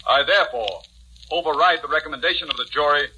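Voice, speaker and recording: An actor playing a stern, unmoved judge in a formal, declamatory 1940s radio drama style. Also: male voice, on the high side, quick pace, a little hiss or hum, poor audio. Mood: stern, cold. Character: stern, unmoved male judge, coldly authoritative. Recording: bright, thin 1940s radio recording.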